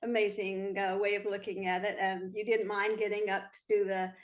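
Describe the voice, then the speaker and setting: A woman's voice: mid-range voice, level tone, normal speed. A woman hosting a TV interview, asking eager, admiring questions. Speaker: interview host.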